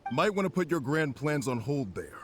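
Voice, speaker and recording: A man's voice: on the high side, sing-song, moderate pace, faint music behind. An actor playing a stern male government officer in a video game, speaking in a formal, authoritative way.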